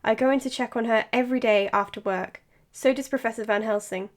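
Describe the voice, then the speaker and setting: Actress as awkward woman doctor, higher voice, formal, hesitant, earnest, audio drama podcast monologue. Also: a little fast, natural voice. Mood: composed, formal. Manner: hesitant, formal, self-conscious.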